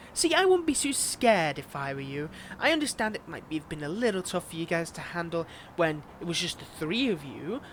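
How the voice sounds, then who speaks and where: A man's voice: sits high, a bit bright, slight background noise. A man acting as a flirtatious, mocking villain and bitter scorned ex in a dramatic audio roleplay, drawing out his teasing words. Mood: smug menace. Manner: teasing, mocking, drawn-out delivery with pet names.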